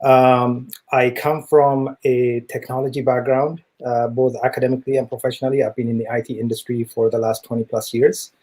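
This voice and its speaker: A middle-aged man with an East African accent speaks in a mellow, relaxed voice of medium pitch. He explains his points as an interview guest, earnest and measured, often feeling for the next phrase. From East Africa, Ethiopia and Kenya. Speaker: interview guest, tech entrepreneur.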